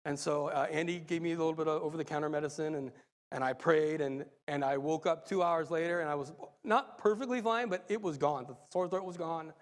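A man preaching a sermon, a little raspy and hoarse from a cold, excitable and laughing as he talks. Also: on the high side, a little fast, big-room sound. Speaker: lay preacher.

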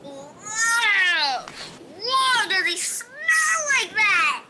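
Woman playing a chirpy high-voiced mermaid. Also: sing-song, extremely slow, bright, forceful tone, a little hiss or hum, heavily acted. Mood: urgent, grossed out. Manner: bubbly, exclamatory. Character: chirpy, excitable young mermaid living on an island. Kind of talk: audio drama acting. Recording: music behind the voice.

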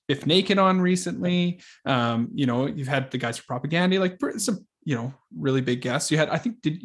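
A man hosting a casual podcast interview, agreeable and a little halting as he phrases his thoughts. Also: fairly high pitch.

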